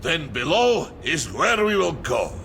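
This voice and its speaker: A man playing a big, good-natured, boastful warrior in a fantasy game, with a booming voice and simple, courteous phrasing. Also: sing-song, unhurried, vocal fry, a bit bright, a little hiss or hum, exaggerated voice. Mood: confident. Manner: simple phrasing, refers to himself by name. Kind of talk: fantasy game character acting.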